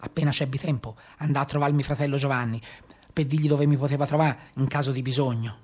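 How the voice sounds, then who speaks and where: A man with a Tuscan accent playing a rough peasant brigand of the Maremma in a theatrical storytelling monologue. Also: mid-range voice, fairly even intonation, fast talker, a little mellow, lightly acted. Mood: grim narrating. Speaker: stage actor. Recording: live guitar music behind.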